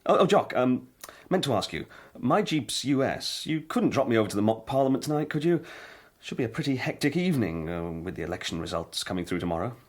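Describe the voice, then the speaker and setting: An actor playing a wry, matey army officer in a radio drama, speaking in a hesitant, exclaiming way. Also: male voice, medium pitch, very animated, fast. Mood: hesitant, chummy. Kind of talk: BBC radio drama dialogue.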